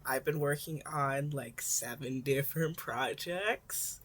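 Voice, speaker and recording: A young adult woman speaking as an amateur podfic reader, in casual chat and expressive story narration. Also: low voice, slow pace, slightly rough.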